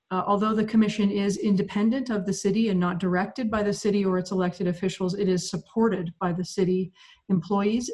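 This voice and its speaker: A woman explaining a resolution to a public commission over a video call, clear and methodical with occasional fillers. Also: medium pitch, darkish tone. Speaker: city staff presenter.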